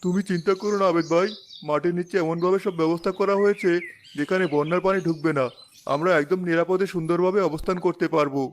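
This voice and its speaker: A man's voice: high voice, fairly even intonation, fast, slight background noise, lightly acted. A man voicing a loyal, principled village schoolmaster in a cartoon moral story, earnest and resolute. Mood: reassuring. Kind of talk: animated moral story voice acting. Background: background music.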